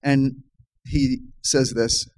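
A man's voice: medium pitch, flat delivery, extremely slow, slight background noise. A man preaching a sermon to his congregation, pushing key phrases up with passionate, surging emphasis. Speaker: pastor.

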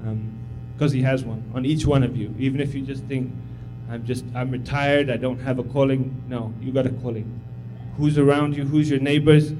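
A man's voice: mid-range voice, fairly even intonation, normal speed, hardly any pauses, noisy background. A man in his thirties who grew up in Tanzania, giving an informal, humble sermon as a visiting missionary, encouraging and full of fillers. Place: church sanctuary.

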